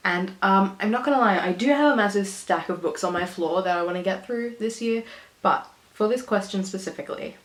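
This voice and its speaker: A young Australian woman chatting casually about books to camera, a bit hoarse from illness, rambling and laughing often. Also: mid-range voice. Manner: chatty, giggly, rambling with fillers. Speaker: book vlogger. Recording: echoey room.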